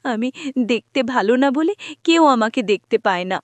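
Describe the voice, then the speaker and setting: A sweet, dutiful village girl in a cartoon, voiced by a woman, speaks in a fairly high voice. She sounds earnest and put-upon, recorded close and dry. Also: animated, normal speed, dry recording, natural voice. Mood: sad. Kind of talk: cartoon voice acting.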